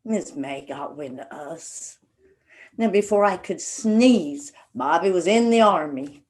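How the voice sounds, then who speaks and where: Slow-talking wistful Appalachian sister played by a woman. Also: fairly low pitch, animated. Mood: wistful, fond. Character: wistful Appalachian coal-country sister, plain-spoken and fond of memories. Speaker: actor in a one-act play. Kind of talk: stage play dialogue, reminiscing.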